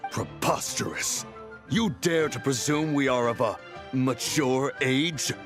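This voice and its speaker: An actor voicing a grave, dignified ancient immortal in a video game, formal and deliberate. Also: male voice, medium pitch, music in the background, close to an everyday voice. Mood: indignant. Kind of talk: game voice acting.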